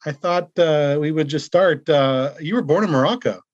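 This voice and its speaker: An American man hosting an interview podcast, reading a scripted intro and then asking questions with many pauses and restarts. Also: on the high side, no room sound, muffled recording. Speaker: podcast host.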